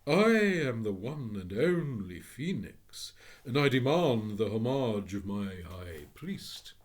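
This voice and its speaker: A man voicing a proud, ancient golden phoenix that talks in a grand, scornful, slow declaiming way. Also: medium pitch, sing-song. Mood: haughty.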